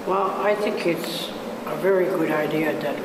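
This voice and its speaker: A woman's voice: low voice, moderate pace. Older woman in a very noisy echoing hall. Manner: plain-spoken, broken phrasing with tag questions. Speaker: meeting participant. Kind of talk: casual opinion at a community meeting. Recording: echoey hall with very loud noise.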